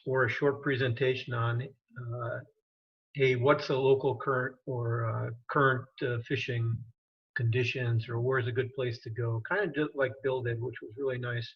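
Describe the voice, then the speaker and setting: Man, husky voice, hesitant participant on a video call, muffled lo-fi sound. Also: mid-range voice. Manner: hesitant, halting with fillers. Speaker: meeting participant. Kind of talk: casual feedback. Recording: dull lo-fi call audio.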